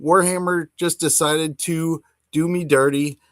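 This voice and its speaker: A middle-aged man hosting a casual hobby podcast, talking enthusiastically in a rambling, halting way. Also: a little high, steady tone, fairly bright tone, no echo, clean studio sound. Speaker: podcast host.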